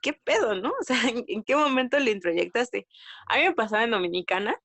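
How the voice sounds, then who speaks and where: A woman from Mexico with a Mexican accent, chatting casually as a podcast guest, retelling anecdotes in an animated way with slang and quoted dialogue. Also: a little high, brisk, gravelly, dry recording. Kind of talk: casual conversational storytelling.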